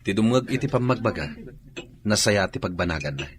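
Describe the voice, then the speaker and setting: A male actor with a Filipino accent playing an earnest young soldier and sympathetic friend in a radio drama, speaking in a measured, expressive way. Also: on the low side, natural voice. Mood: earnest advising.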